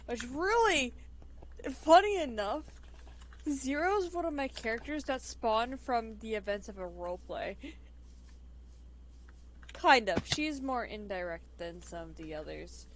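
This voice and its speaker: A woman's voice: fairly high pitch, big pitch swings, a little slow, long pauses, rough recording. A woman chatting casually and improvising role-play narration on a game stream. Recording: faint background noise.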